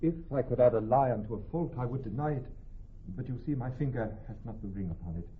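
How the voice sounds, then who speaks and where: Actor doing courtly young husband lord, contrite and earnest, swoopy delivery, old muffled shakespeare radio play. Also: male voice, medium pitch, lively intonation, fast, slight background noise, lightly acted. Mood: contrite, amazed. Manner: courtly, theatrical. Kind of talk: classical Shakespeare radio acting. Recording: dull, lo-fi old radio recording.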